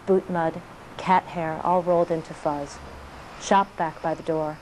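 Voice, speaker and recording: A woman poet reading her work aloud in a measured delivery of short, clipped phrases. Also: fairly low pitch, darkish tone, a little hiss or hum, poor audio. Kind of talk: poetry reading.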